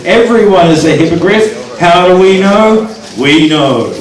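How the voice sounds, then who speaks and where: A man's voice: sits high, expressive, unhurried. Man, spoken-word poet, wry asides, echoey venue, distant mic, audience. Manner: wry asides, counts down his time aloud. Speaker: poet performing. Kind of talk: live spoken-word poetry reading.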